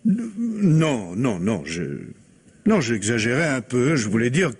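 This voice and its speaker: A man's voice: medium pitch, very animated, takes it slowly, slightly rough, exaggerated voice. An actor playing an evasive, flustered husband in his thirties in a French radio mystery, hesitant, stammering and backtracking. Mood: flustered, defensive. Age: 30s.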